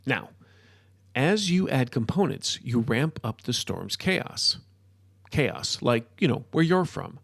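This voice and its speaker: A man playing an excitable modern-day wizard in a storybook, ranting and lecturing in an emphatic, informal way. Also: mid-range voice, slow, creaky, no echo, studio quality, full character voice. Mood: explaining. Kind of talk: storybook character dialogue.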